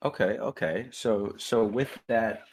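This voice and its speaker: A man's voice: on the low side, a little slow, a little mellow. A man hosting an online Q&A, a bit under the weather, chatting in a friendly way with frequent stammers and laughs. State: a bit sick. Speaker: AMA host. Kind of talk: casual chat hosting.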